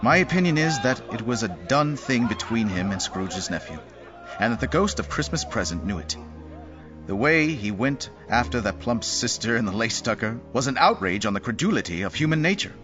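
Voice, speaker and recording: A man's voice: medium pitch, very animated, moderate pace, a crowd in the background. A man narrating a dramatised story in a wry, theatrical manner. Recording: dull audio with music behind.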